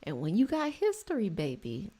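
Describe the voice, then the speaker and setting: A woman's voice: mid-range voice, huge pitch swings, moderate pace, dark tone. An American woman chatting casually on a livestream, explaining and reflecting in an affectionate way with her listeners. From United States, DMV area around Washington DC. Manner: affectionate, addresses listeners as y'all and babies. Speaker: live stream host and spiritual healing practitioner.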